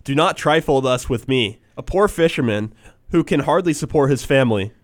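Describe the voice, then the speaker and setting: A man's voice: lively intonation, normal speed, dry recording, studio quality, natural voice. A young man putting on a frightened poor old fisherman. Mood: lamenting. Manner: high, strained pleading voice. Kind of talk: student reading a story aloud as a role.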